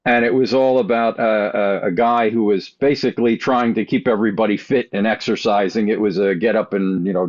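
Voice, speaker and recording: A man's voice: fairly low pitch, darkish tone, dry recording. A man chatting casually as a podcast panelist, rambling along in a wry way. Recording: dull, dry podcast audio.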